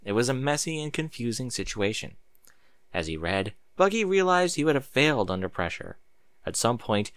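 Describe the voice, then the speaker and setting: A man reading fan fiction aloud as narrator, in an expressive storybook style with a sardonic edge. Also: medium pitch, dry recording, studio quality. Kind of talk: dramatic storybook narration with casual sarcastic asides.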